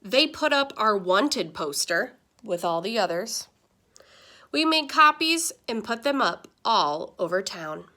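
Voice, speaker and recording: A woman's voice: medium pitch, slow. A woman reading a children's picture book aloud, expressively, with asides to the listeners. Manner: expressive storytelling. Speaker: story reader.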